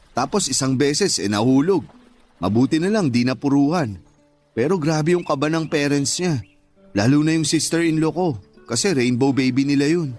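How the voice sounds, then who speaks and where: A man acts out a sympathetic Filipino friend in a radio drama. He is gentle and flattering, with an average-pitched male voice. Quiet music runs underneath. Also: close to an everyday voice. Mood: chatty. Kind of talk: conversational radio drama acting. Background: background music.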